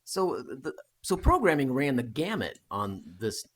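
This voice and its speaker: A man's voice: medium pitch, big pitch swings, moderate pace, a little hiss or hum. A man guesting on a podcast, chatting casually and asking curious questions. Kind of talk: casual chat.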